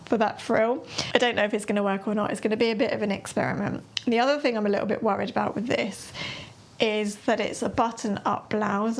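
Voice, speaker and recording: Woman, slightly croaky, chatty, rambling, light laughs, craft vlog catch-up. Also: mid-range voice. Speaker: sewing and knitting vlogger.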